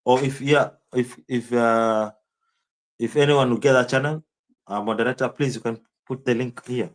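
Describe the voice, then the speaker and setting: A man's voice: medium pitch, steady tone, moderate pace. A man with a Kenyan accent streaming live, rambling in casual commentary and answering viewers' chat comments by name.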